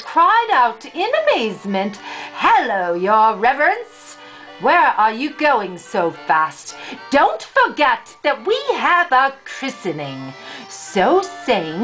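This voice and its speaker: An older woman with a high voice reads a children's fairy tale aloud over music. Her delivery is deliberate and unhurried, rising and falling expressively as she tells the story. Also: poor audio. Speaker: grandmother reading a storybook aloud.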